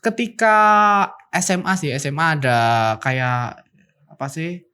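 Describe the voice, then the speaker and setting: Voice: mid-range voice, expressive, measured pace, a bit bright. A student in their twenties from Java, chatting casually on a student podcast and thinking aloud with fillers. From Central Java, Indonesia. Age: 20s. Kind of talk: casual chat.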